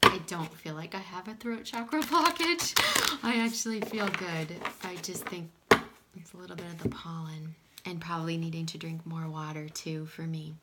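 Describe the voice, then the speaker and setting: A woman giving a casual oracle card reading in a small room at home, her voice a little scratchy from pollen, soft-spoken and sometimes dropping to a whisper. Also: fairly low pitch, normal speed. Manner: soft-spoken, drifting into whispers, frequent "okay?". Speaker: spiritual card reader.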